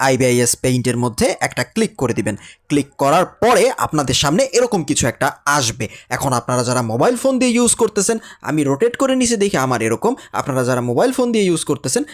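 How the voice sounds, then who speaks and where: Fast, animated Bangladeshi man teaching. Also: sits high. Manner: chatty, repeats instructions for emphasis. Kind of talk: tutorial lesson with casual direct address.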